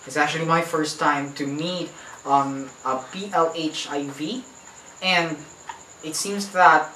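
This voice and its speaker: A man's voice: fairly high pitch, steady tone, takes it slowly, sharp tone. Filipino male host, distant and echoey. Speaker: talk show host. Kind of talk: talk-show interviewing. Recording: echoey room, distant mic, faint background noise.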